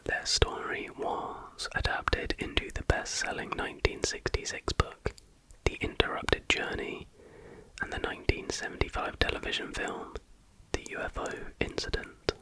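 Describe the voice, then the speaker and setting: A man reading a factual account aloud as an ASMR host, entirely in a soft, unhurried, unvoiced whisper. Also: low-quality recording.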